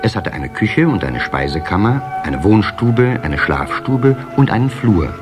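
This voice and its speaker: A man narrating a children's fairy tale as a measured, expressive storyteller in an audio play. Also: on the low side, over music. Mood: storytelling.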